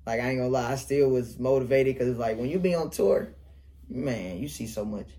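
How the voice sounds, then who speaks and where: A man's voice: mid-range voice, a little fast, mellow. A young man with a Detroit accent, a rapper talking casually in an interview, rambling and laughing often. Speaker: rapper guest.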